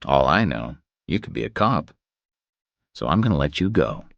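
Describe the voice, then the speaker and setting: A male narrator plays a tough male drifter with an extremely deep voice. He is self-assured and dryly sarcastic, handing out taunts and orders with a lively swing in pitch. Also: normal speed, creaky, dry recording, highs cut off, exaggerated voice. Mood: cold, commanding. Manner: dry, sarcastic, commanding.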